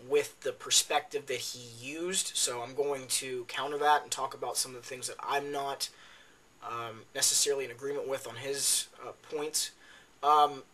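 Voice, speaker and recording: A young man talks through fight analysis in a loose, unscripted way, often pausing on um and uh. His voice is raspy and worn, and he sounds tired. Its tone stays bright and forward even so. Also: medium pitch. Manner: talks off the cuff with many ums and uhs. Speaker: MMA video blogger. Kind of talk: casual spoken fight analysis.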